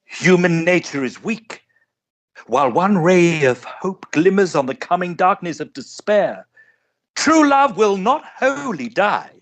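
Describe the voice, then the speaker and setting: An actor playing a scheming middle-aged gentleman suitor in radio melodrama, florid, obsequious and overwrought. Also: sits high, big pitch swings, unhurried, heavily acted. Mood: pleading, ardent. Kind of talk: old-style radio theatre melodrama acting.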